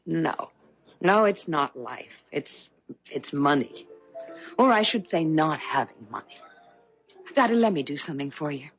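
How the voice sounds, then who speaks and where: A woman's voice: deep voice, expressive, unhurried, pauses often, faint music behind. An actress playing a worldly, wealthy middle-aged woman with a theatrical, effusive manner in a 1970s radio drama. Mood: pitying, pleading. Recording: dull 1970s radio broadcast recording.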